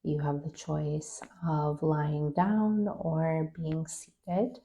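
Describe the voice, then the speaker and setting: A woman leading a guided meditation, giving calm, gentle, unhurried instructions with long pauses. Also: deep voice, highs cut off. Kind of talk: guided meditation, soft scripted-sounding instruction.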